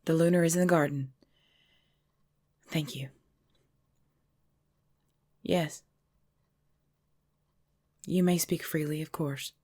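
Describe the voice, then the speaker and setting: Low breathy vampire mistress played by a woman. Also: steady tone, a little mellow, dry recording, clean studio sound. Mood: gracious, composed. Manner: formal, measured, courtly. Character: centuries-old vampire mistress, female, cold ruler turned protective. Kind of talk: ASMR audio roleplay.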